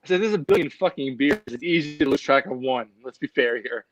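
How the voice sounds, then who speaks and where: A man's voice: medium pitch, lively intonation, brisk, muffled recording, slight background noise. A man on a video call chatting casually over beers, blunt and crude with a sardonic edge.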